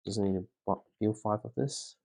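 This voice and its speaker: A man who is not a native English speaker vlogs casually while building a toy set at home, talking quietly and haltingly with many fillers and a dry throat. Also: fairly low pitch, fairly even intonation, gravelly.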